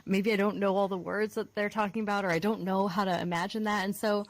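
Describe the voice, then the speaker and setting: A woman's voice: mid-range voice, fairly even intonation, quick pace. A woman chatting casually as a talk show guest, in a lightly self-deprecating way. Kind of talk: casual chat.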